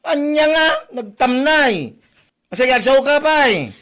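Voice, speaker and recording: A man acting a feminine, sharp-tongued store owner with a Filipino accent, nagging a debtor sarcastically in a comedy drama. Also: extremely high pitch, big pitch swings, takes it slowly, full character voice. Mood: exasperated scolding. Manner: sarcastic, nagging, emphatic scolding.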